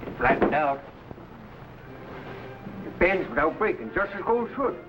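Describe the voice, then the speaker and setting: An actor playing an eager, folksy frontier workman with gold fever in an old adventure drama, rustic and excitable. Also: on the high side, a little slow, background noise. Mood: intrigued. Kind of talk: 1950s adventure drama acting. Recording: dull old broadcast, loud music.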